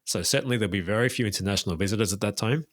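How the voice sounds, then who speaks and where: Man, tour guide on a podcast, fairly low voice, dry close sound, informative and keen. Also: very fast, dry recording. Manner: detailed, informative, enthusiastic recommendations. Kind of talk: casual interview chat.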